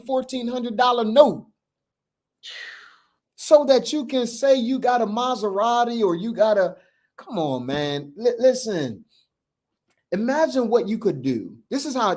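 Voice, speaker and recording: A man in his fifties lectures with earnest conviction, circling back and repeating his points for emphasis. His voice sits a touch high for a man, and his speech carries a lilt. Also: lively intonation, a little slow, a little mellow. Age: 50s. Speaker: podcast co-host. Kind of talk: casual conversation on money.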